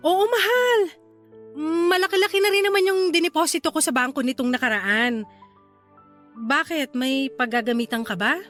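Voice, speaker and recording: A woman's voice: high voice, moderate pace, fairly bright tone, soft background music. A woman acting a sweet, evasive young Filipino girlfriend in a radio drama, coaxing and apologetic. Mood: explaining, placating.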